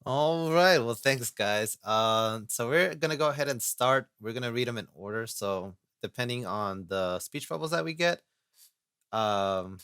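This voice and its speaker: A man's voice: medium pitch, lively intonation, unhurried, a bit bright, clean tone, dry recording, studio quality. A man hosting a casual podcast read-through who lays out the plan step by step. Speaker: host and editor.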